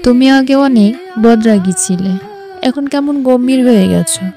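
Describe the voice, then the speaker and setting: A woman playing a sincere, gently proud young woman in an audio story, earnest in manner. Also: fairly high pitch, a little slow. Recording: music behind the voice.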